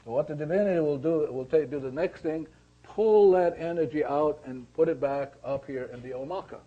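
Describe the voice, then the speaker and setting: Male seminar teacher, slightly high mellow voice, deliberate repetitive explaining, lo-fi sound. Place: seminar room with attendees. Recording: slightly dull lo-fi recording.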